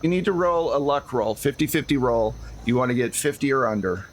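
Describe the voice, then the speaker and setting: A man's voice: medium pitch, lively intonation, brisk, noisy background. A man narrating as game master of a tabletop roleplaying game, improvising scenes and talking to his players.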